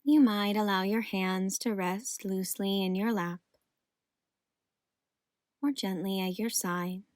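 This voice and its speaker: Woman doing guided meditation, super slow n gentle, soothing, dry close mic. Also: mid-range voice, no echo.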